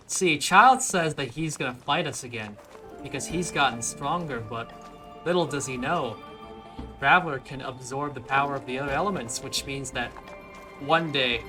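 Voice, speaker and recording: A man's voice: medium pitch, pushed and bright. A young man giving casual let's play commentary over a video game, with game music behind him.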